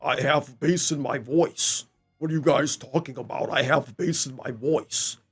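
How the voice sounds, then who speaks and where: A man's voice: medium pitch, unhurried, slightly rough, faint music behind. A man giving casual, mocking reaction commentary as a streamer, incredulous, sarcastic and profane.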